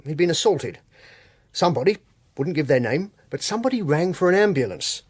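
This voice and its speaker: An actor playing a blunt, knowing police detective inspector in a radio drama, with a deliberate, dry, colloquial manner. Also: male voice, on the high side, animated, breathy edge, dry recording. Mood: grave, probing.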